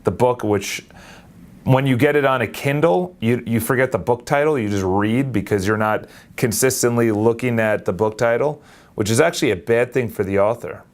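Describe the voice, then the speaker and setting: A young man in his late twenties talks straight into his phone camera in a loose, unscripted vlog. He is energetic and rambling, and he often stops and restarts his sentences. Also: medium pitch, normal speed. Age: 20s. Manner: energetic, rambling, self-correcting. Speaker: vlogger. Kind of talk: casual talk to camera.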